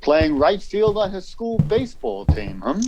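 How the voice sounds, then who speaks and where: Radio play army major, guarded and courteous, clipped official talk, kinda high voice that moves around a lot. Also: male voice, sing-song, music in the background. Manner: clipped, formal, official, measured. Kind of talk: radio drama acting.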